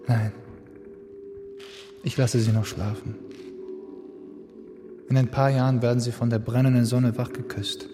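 An actor playing a lonely, brooding young man in a radio play, speaking slowly and often in a hush. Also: medium pitch, flat delivery, music in the background, lightly acted. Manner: slow, brooding monologue, often hushed or whispered. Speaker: Kuno, lead in a radio play. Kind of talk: radio drama acting.

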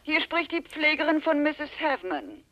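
An actress playing a brisk, reproachful private nurse through a telephone filter in a radio play. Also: female voice, sits high, big pitch swings, fairly bright tone, heavily acted. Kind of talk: radio play phone dialogue. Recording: muffled, thin telephone line.

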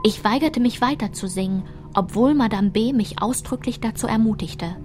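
An actress playing a woman who recalls her painful girlhood, narrating a literary radio drama in a measured, reflective way. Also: fairly high pitch, darkish tone, soft background music, lightly acted.

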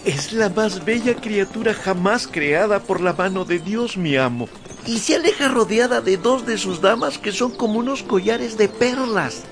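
High, creaky, wily peasant squire. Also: male voice, expressive, moderate pace. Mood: flattering. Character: wily, flustered peasant squire, servile but cunning. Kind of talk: radio drama acting.

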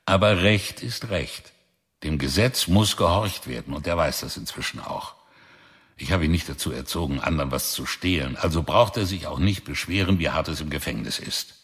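An actor playing an old drifter father, proud and gruff, talking slowly and wearily with flashes of rage in a radio drama. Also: sits low, fairly bright tone, exaggerated voice.

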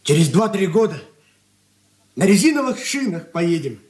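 Boastful clowning young husband, noticeably high-pitched, wheedling salesman manner, theatrical radio drama. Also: big pitch swings, moderate pace, a little mellow. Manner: glib, clowning. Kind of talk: stage-style radio drama acting.

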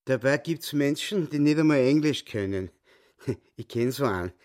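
An actor playing a boastful little hustler in a radio play, self-important and excitable, with a Viennese accent. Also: male voice, mid-range voice, normal speed, no room sound, studio quality, close to an everyday voice. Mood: smug. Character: cocky little hustler, boastful would-be world champion.

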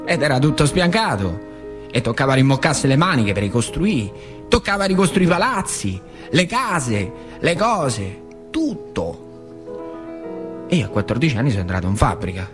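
A man's voice: medium pitch, sing-song, moderate pace, over music, lightly acted. An actor playing an old factory worker recalling his boyhood in a colloquial theatrical monologue, with a rural central Italian accent from northern Lazio. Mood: earnest, reminiscing. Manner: colloquial, vivid, swinging between hushed and cried-out delivery. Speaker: stage actor performing a monologue. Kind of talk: theatrical storytelling monologue for radio.

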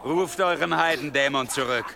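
An actor playing a coarse, menacing war-band leader with a sneering, threatening delivery in a German historical audio drama. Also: male voice, on the high side, moderate pace, gravelly, background noise. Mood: sneering, lewd.

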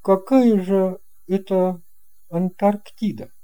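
An earnest young boy from an audio fairy tale, voiced by a narrator. He is curious and slightly cautious. The delivery is very soft-toned and slow, with plain, sincere phrasing. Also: medium pitch, steady tone, voice pushed up, natural voice. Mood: doubtful.

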